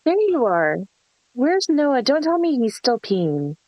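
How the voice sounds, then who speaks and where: A woman's voice: high voice, sing-song, takes it slowly, no room sound, lo-fi sound, exaggerated voice. A woman playing a bratty, flippant, foul-mouthed young woman with a teasing, sneering delivery in a horror script table read. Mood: impatient, annoyed.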